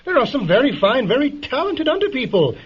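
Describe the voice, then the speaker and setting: Snobby bigot lord, old radio drama, high voice all over the place, rough edge, dull sound. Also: male voice, big pitch swings, brisk, exaggerated voice. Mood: patronising, smug. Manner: sneering, patronising. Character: smug, bigoted, condescending male lord. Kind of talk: golden-age radio drama acting. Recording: dull vintage radio recording.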